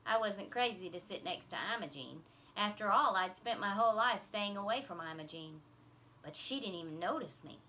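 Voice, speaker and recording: A woman's voice: medium pitch, lively intonation, moderate pace, bright, forceful tone, a little hiss or hum. A woman reading a children's novel aloud as scripted first-person narration. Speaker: audiobook reader.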